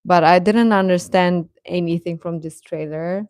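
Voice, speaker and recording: A woman's voice: lowish voice, fairly even intonation, moderate pace, darkish tone, no room sound, studio quality. A woman hosting a casual livestream, chatting to viewers in a hesitant, rambling, stop-and-start way. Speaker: livestream host.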